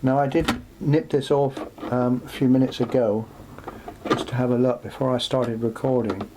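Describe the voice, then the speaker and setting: A man presenting an unscripted show-and-tell in a workshop, speaking haltingly as he thinks aloud. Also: medium pitch, steady tone. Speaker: presenter showing vintage electronics for restoration. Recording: clearly noisy, with background hum.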